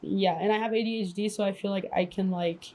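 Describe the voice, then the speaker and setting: A young woman hosts a relaxed, unscripted conversation with a mellow, dark tone to her voice. She talks loosely and casually, with plenty of "like" and "um" and some swearing thrown in. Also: mid-range voice, a little fast, rough recording. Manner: casual and profane, lots of fillers. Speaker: podcast host. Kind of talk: casual chat.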